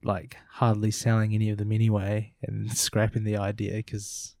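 A man with a low voice speaks in a relaxed, casual way while recounting an anecdote on a podcast. The sound is dry and close to the mic. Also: moderate pace, gravelly, no echo. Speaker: podcast co-host. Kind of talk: casual discussion.

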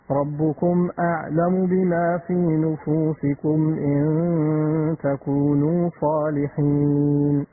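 A man reciting scripture in a slow, melodic, drawn-out chant. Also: a little high, phone-quality sound. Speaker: Quran reciter.

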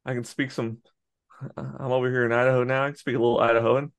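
An American man with a gravelly voice. He talks in a loose, rambling way, restarts his sentences and laughs often, like a podcast co-host chatting with a friend. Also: medium pitch, little pitch movement, fast talker. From United States. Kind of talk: casual chat.

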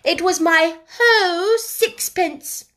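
Woman voicing an earnest little boy, pitched high. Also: a bit bright, heavily acted. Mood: firm, dismayed. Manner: slow, emphatic, stout delivery. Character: earnest, kind-hearted little boy, stoutly insistent. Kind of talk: storybook character voice.

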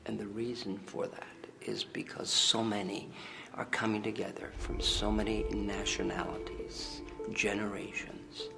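A man's voice: on the low side, little pitch movement, measured pace, gravelly, whispered. A man speaking earnestly in a documentary interview from a played video. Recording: video with loud music bed. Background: loud background music.

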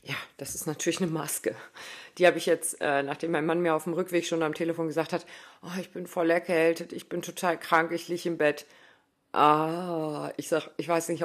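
A woman in her thirties talking casually in a spoken diary, rambling with lots of fillers and asides. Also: deep voice. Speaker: running podcaster.

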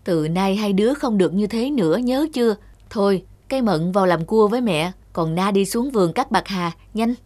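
A woman's voice: mid-range voice, animated, moderate pace, darkish tone, dry recording, close to an everyday voice. A woman playing a caring but firm mother who instructs and admonishes her children in a cartoon folk tale. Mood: reproachful, firm. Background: background music.

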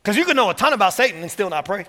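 A male pastor preaching with energy, his voice high and bright as he presses each point and repeats key words. His sermon rings out with a long reverb in a large hall. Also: racing. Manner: energetic, repeats words, rising to emphatic peaks.

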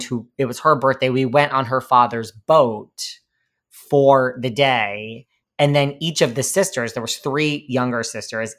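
A man who grew up between Connecticut and New York talks as a podcast guest, with a light New York accent. He rambles in a chatty, campy way and circles back over his own points. His voice sits at a middling pitch. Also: fairly bright tone. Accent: slight New York. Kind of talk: casual interview chat.

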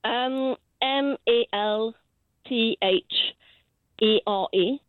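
A woman's voice: fairly high pitch, extremely slow, dry recording. A woman caller with a French accent, a native French speaker who talks hesitantly and searches for her words. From France. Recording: phone line.

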